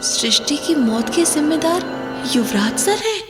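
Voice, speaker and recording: A woman's voice: high-pitched, sing-song, unhurried, over music, voice pushed up. A woman voicing a timid, curious young college student in a horror audio drama, talking hesitantly and wondering aloud to herself. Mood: suspicious.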